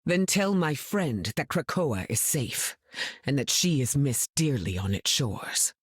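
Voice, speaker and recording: Game role: a dignified heroine, very low and husky. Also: female voice, sing-song, no room sound, clean studio sound, exaggerated voice. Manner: dignified, measured. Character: dignified weather-controlling heroine woman.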